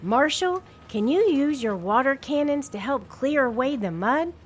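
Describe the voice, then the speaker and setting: A boy leader of a storybook rescue team, voiced by a woman narrator. He sounds sure of himself and reassuring, giving directions at a measured pace with lively, varied intonation. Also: sits high, slight background noise, pitched above the performer's own voice. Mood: decisive. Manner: reassuring, decisive. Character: calm, confident boy leader of rescue pups.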